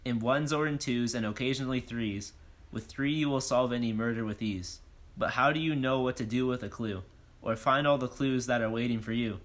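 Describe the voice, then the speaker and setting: An actor playing a bold, self-important police detective, declaiming in rhyme in a comic audio drama. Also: male voice, medium pitch, brisk, fairly bright tone, lightly acted. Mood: accusing, boastful. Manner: declamatory, questioning, speaks in rhyme.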